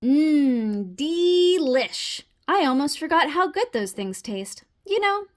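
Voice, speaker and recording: A woman voicing a fussy, easily panicked neat-freak male frog in a theatrical, indignant manner for a children's storybook. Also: high-pitched. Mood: contented. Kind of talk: children's storybook read-aloud.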